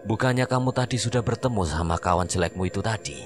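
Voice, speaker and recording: A man's voice: lowish voice, fast talker, faint music behind, voice pushed up, natural voice. A man voicing a jovial middle-aged male rickshaw driver with a Javanese accent, joking and chummy.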